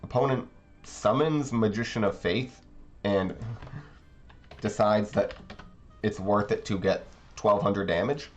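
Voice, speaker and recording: Low-voiced, slightly congested man commentating. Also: slow pace, pauses often. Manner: thinking aloud, explaining plays. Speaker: card game channel host. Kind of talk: casual gameplay commentary. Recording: slightly dull recording, faint background music.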